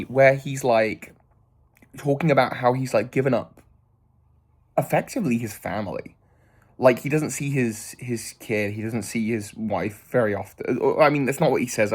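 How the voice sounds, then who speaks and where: A man in his thirties thinks out loud to his viewers in an earnest, meandering way. He trails off, restarts and fills gaps with "um" and "like". His voice sits in a middle pitch. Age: 30s. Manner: rambling, hesitant, lots of fillers and restarts; thoughtful and earnest. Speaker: vlogger. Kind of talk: reflective casual monologue.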